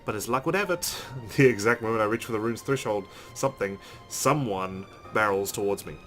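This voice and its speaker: Male gamer reading visual novel text aloud; somewhat low pitch, background music. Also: brisk, a bit bright. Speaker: let's play host. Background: game music.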